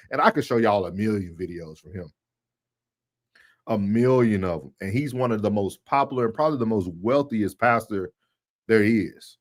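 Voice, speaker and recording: A man with a mid-range voice co-hosts a livestream. He talks casually and in an animated way, speaking straight to his viewers as if making a case to them. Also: medium pitch. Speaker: livestream co-host. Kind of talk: casual talk commentary on church.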